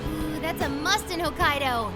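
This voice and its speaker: A perky, scatterbrained teenage girl in an anime game. Her voice is extremely high and swoops up and down, bubbly and casual. Loud music runs behind her. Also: lively intonation, moderate pace. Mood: playful. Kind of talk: English anime-style game dub acting.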